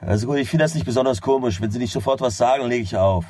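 An actor in a German radio drama playing a stubborn, excitable working man who swears and swings from muttering to exclaiming. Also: mid-range voice, fast talker, lightly acted. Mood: anxious.

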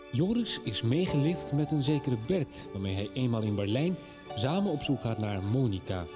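A male announcer reading a scripted episode recap in a measured, even way. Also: medium pitch. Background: music.

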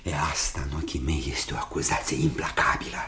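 An actor in a radio drama playing a menacing man obsessed with love, theatrical and intense, shifting from hushed to fierce. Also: very deep voice, fairly even intonation, background noise. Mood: cold, insinuating.

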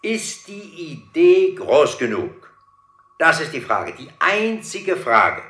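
A man's voice: on the high side, sing-song, takes it slowly, a bit bright, reverberant, heavily acted. An actor in a German radio drama playing a grandiose, self-important male boss who talks in pompous, emphatic exclamations.

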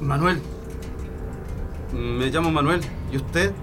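An actor playing a worried but steadfast young political prisoner in a radio drama, subdued and earnest, with a Chilean accent. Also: male voice, fairly high pitch, takes it slowly. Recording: music behind the voice.

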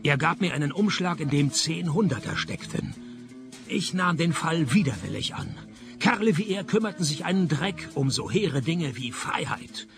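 An actor playing a sardonic, weary private detective, narrating a comic hard-boiled radio play in a deadpan manner. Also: male voice, a little high, normal speed, gravelly, over music. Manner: deadpan, dry wit. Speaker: narrator and main character.

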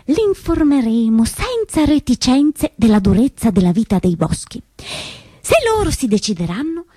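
An actress playing a bold, high-voiced woman partisan organiser. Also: warm tone, no echo. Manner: emphatic, conspiratorial, instructing. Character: bold, commanding adult woman partisan organiser rousing young women. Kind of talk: theatrical stage monologue.

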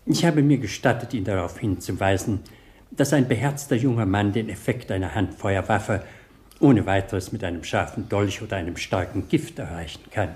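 An actor playing an ironic, deadpan butler in a radio play. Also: male voice, lowish voice, normal speed, natural voice. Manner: dry, deadpan, sardonic. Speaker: George.

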